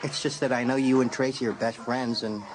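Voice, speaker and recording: An actor playing a nervous, awkward American high school boy, speaking hesitantly in a dramatised audiobook. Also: steady tone, a little fast, background noise, close to an everyday voice.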